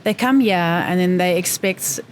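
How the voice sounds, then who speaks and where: Interview guest, woman from South Africa, lower voice, reflective and measured, faint noise behind. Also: poor audio. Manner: thoughtful, pauses to find words. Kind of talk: reflective conversation.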